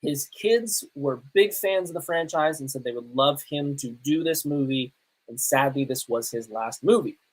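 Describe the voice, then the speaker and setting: A man hosting a podcast, reviewing movies casually in an emphatic, halting way with pauses for effect. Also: mid-range voice, rough recording. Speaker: podcast host.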